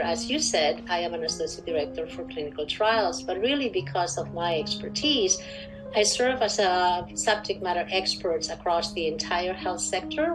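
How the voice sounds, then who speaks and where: A woman's voice: lowish voice, rough recording. A woman in her sixties from Spain with a Spanish accent, giving formal interview answers as an expert guest. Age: 60s. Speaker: interview guest, clinical research director.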